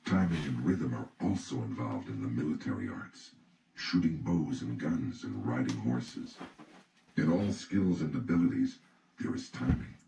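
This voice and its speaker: A man reading an audiobook from a script in a solemn, deliberate, instructional way. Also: very deep voice, lively intonation, creaky, warm tone, soft background music. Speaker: audiobook reader. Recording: roomy, distant mic, faint noise.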